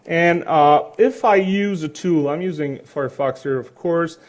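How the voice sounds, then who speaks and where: A man's voice: on the high side, measured pace. A man with a French accent in his thirties, giving a chatty, joking conference talk in a hall, with many fillers. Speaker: conference speaker.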